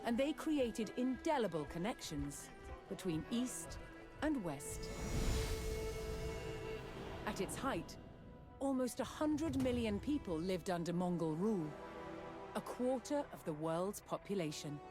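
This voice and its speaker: A woman delivers scripted documentary narration in a measured, dramatic storytelling manner. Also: mid-range voice, sing-song. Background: background music.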